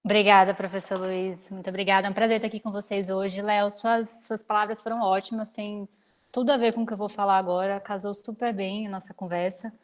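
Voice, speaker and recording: Energetic young Brazilian woman lecturing online. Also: medium pitch. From Salvador, Bahia, Brazil. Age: 20s. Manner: fast, practical, energetic. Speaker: startup founder giving a guest talk. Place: video call.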